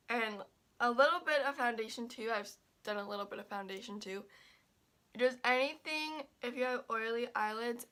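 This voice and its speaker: A young woman chatting through a makeup tutorial. She sounds stuffy and a little raspy, and she rambles with lots of fillers and restarts. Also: fairly high pitch, fairly bright tone. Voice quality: slightly raspy. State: stuffy and congested. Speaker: beauty vlogger.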